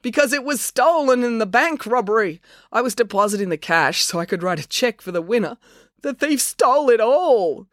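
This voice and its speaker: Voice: medium pitch, animated, a little fast, fairly bright tone, dry recording, studio quality, voice pushed up, natural voice. A narrator voicing a miserable, self-pitying man in a storybook, plaintive and blubbery. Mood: pained.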